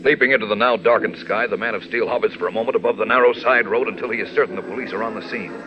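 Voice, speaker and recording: An actor as a grand, suspenseful narrator of a 1940s radio adventure serial, declaiming on an old broadcast recording. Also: male voice, on the low side, racing, natural voice, rough recording. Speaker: announcer and narrator. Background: dramatic music.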